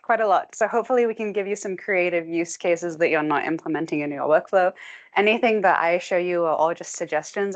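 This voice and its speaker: A young woman giving a tech talk to a meetup audience, brisk and chatty with frequent "um" and "like". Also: sits low. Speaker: guest speaker, technical presenter. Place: meetup room with a live audience.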